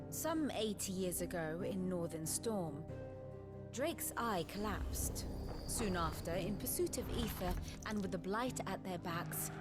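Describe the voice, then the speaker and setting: An actress playing a composed scholar who explains history in a measured, lecturing way in a video game cutscene. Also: female voice, medium pitch, lightly acted. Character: learned woman scholar, composed and dryly wry. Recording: loud score music underneath. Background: loud orchestral music.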